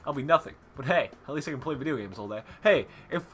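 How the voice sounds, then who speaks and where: A man's voice: mid-range voice, animated, fast talker, a bit bright, a little hiss or hum. A young man hosting a gaming channel, reading game text aloud and breaking off into jokey casual chat.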